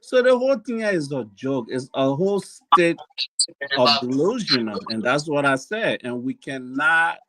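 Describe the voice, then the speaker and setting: A man's voice: on the high side, big pitch swings, unhurried, fairly bright tone, low-quality recording. A man giving a blunt, dismissive opinion as a participant in a casual discussion.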